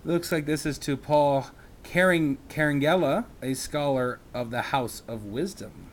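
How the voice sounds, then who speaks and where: A man who teaches reads a children's chapter book aloud in a slow, expressive way, with small slips. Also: on the high side, slight background noise.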